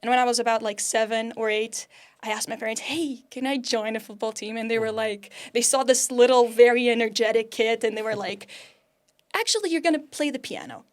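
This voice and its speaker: A woman's voice: a little high, a little fast. Chatty Portuguese woman in her twenties. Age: 20s. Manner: chatty and upbeat, laughs often, many "like" fillers. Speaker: podcast guest. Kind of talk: casual interview chat.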